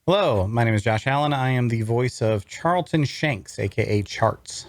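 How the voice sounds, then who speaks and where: A man's voice: medium pitch, expressive, moderate pace, dry recording. A man, a voice actor, reading first-person story narration from a script.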